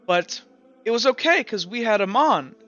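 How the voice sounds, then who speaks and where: Man playing a devout, bright-voiced female guide. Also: sits high, animated, normal speed, pitched above the performer's own voice. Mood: proud, earnest. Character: earnest, devout female guide recounting sacred history. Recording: muffled lo-fi mic with music.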